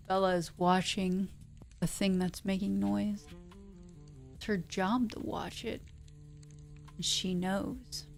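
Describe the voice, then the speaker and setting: A woman streamer talking at a slow, easy pace over faint music. She rambles playfully and casually, as a host settling in before a read-aloud, and speaks English as a second language. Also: medium pitch. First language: not English. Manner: playful, rambling, breaks into sound imitations. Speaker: livestream host reading pulp science fiction aloud. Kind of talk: casual livestream chat.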